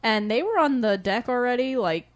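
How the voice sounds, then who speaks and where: A woman's voice: on the high side, lively intonation, moderate pace. A woman co-hosting a podcast, chatting casually in a giggly, playfully sarcastic way. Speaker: podcast co-host. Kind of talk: casual chat and banter.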